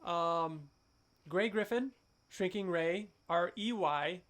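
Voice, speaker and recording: A man co-hosting a podcast, chatting casually and with excitement, thinking aloud and stumbling over hard names. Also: high voice, slow. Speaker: podcast co-host. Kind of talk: casual chat.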